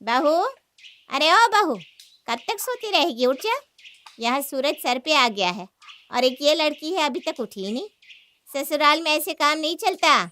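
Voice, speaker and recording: A woman's voice: high voice, lively intonation, unhurried, sharp tone, full character voice. A woman playing a scolding, exasperated mother-in-law in a cartoon family story, nagging and sarcastic. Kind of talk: Hindi cartoon story acting.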